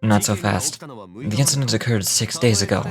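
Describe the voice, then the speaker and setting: An actor playing a composed, methodical gentleman investigator in a video game, speaking in a measured, formal way. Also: fairly low pitch, soft background music, close to an everyday voice. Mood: calm, analytical.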